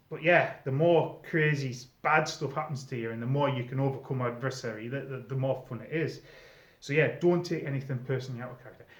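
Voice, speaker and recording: A middle-aged man giving informal, conversational advice as a presenter explaining things to newcomers. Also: mid-range voice. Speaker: presenter, former game storyteller. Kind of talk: informal advice video monologue. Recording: echoey room, slightly dull sound.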